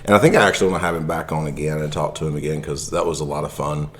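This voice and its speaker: A man with a very deep voice hosts a casual podcast. He talks in a loose, rambling way, telling anecdotes as he goes. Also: racing. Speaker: martial arts podcast host.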